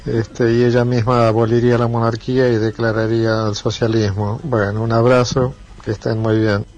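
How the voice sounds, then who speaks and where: A man's voice: mid-range voice, little pitch movement, normal speed, darkish tone, dull audio. A man sending a voice message to a radio show, speaking earnestly with formal greetings. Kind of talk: casual voice message.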